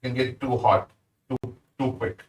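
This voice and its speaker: A man's voice: medium pitch, steady tone, moderate pace, slight background noise. A man with an Indian accent, casually chatting with viewers while cooking on a live stream. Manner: easygoing, chats with viewers while working. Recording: roomy sound, mic at a distance.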